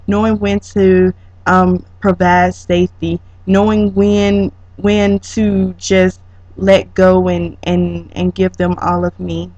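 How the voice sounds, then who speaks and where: A student presenter giving a prepared, reflective slide presentation, speaking haltingly with drawn-out pauses in mid-sentence. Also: mid-range voice, a little mellow. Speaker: student presenter, educator training as a counselor. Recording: dull lo-fi sound with room echo.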